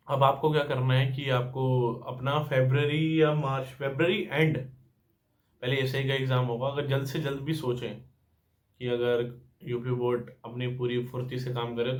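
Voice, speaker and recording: Young guy doing informal exam update vlog, pretty flat intonation, explains stuff and repeats points. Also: medium pitch. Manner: explanatory, repeats points, mixes in English words. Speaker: exam-news channel host.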